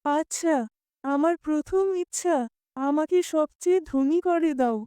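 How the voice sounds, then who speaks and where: A woman's voice: sits high, slow, warm tone, dry recording, clean studio sound, voice pushed up. A woman voicing an earnest, pleading young boy in a children's cartoon. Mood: eager. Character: greedy, then repentant young boy in a cartoon.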